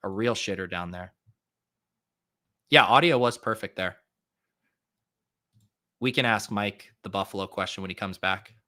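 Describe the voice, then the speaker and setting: A man's voice: lowish voice, pushed and bright. A man with a nasal voice hosting a live stream, chatting casually and thinking aloud while he drafts fantasy football players. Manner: rapid, chatty thinking aloud with many fillers.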